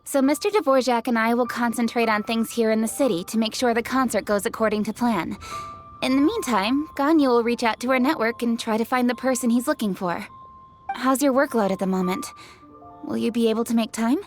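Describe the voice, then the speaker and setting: A woman's voice: a little high, fairly quick, lightly acted. A woman playing a poised, businesslike young official in an anime video game, speaking formally and with composure. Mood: decisive. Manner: formal, crisp phrasing, composed. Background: music.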